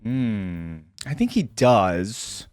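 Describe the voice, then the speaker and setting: A man's voice: on the low side, big pitch swings, extremely slow, darkish tone, clear, resonant tone, no echo, faint music behind. A man hosting a reaction video, giving informal, slangy, conversational commentary.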